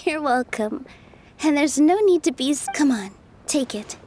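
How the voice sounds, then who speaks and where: A woman playing a gentle, encouraging young dancer in a video game, speaking earnestly. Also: high-pitched, expressive, unhurried, faint music behind. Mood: grateful.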